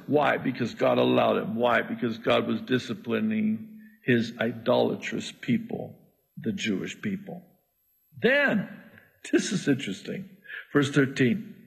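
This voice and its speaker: Slow, gravelly preacher, native Arabic speaker. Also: male voice, medium pitch, rough recording. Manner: animated, jumping from scripture reading to asides. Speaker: pastor. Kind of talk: expository sermon.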